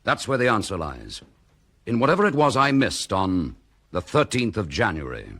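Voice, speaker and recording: An actor playing a dogged, weary English police chief inspector and protective husband in a radio drama. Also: male voice, fairly low pitch, huge pitch swings, normal speed, fairly bright tone, full character voice. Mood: intent.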